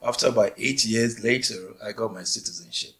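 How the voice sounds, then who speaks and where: A man in his forties from Nigeria, a native Yoruba speaker, telling his life story in an interview with unhurried, thoughtful pauses. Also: mid-range voice, vocal fry, a bit bright. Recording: faint music behind.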